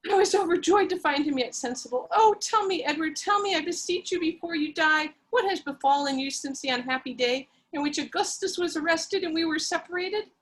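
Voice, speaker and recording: A woman reading a story aloud with theatrical, breathless melodrama. Her voice sits high, and she plays the lead and narrates over a video call. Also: brisk, hardly any pauses, fairly bright tone, low-quality recording.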